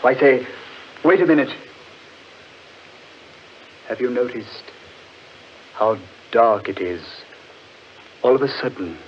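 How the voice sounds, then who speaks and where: A male actor playing an uneasy gentleman who senses evil, in slow, dramatic, halting 1940s radio horror style. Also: mid-range voice, lively intonation. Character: uneasy gentleman, Danvers, sensing evil in woods. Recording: muffled, noisy 1940s radio recording.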